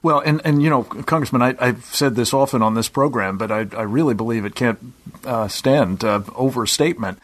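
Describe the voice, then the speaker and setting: Husky-voiced male radio host. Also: mid-range voice. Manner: deliberate, drawn-out questions with hesitations. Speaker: radio talk show host. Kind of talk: radio interview questions.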